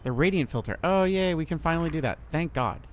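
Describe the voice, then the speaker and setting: A man's voice: fairly high pitch, lively intonation, fast talker, a little hiss or hum. A man in his thirties streaming a horror game, chatting in a rambling, laid-back way with a lot of swearing. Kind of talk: casual gameplay commentary.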